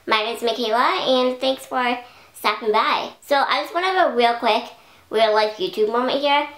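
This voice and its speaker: Young female vlogger, slightly raised pitch, chatty and rambling, a little hoarse, roomy echo. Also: normal speed, a bit bright. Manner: chatty, rambling, many "like" fillers. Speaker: YouTube vlogger. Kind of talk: casual talk to camera.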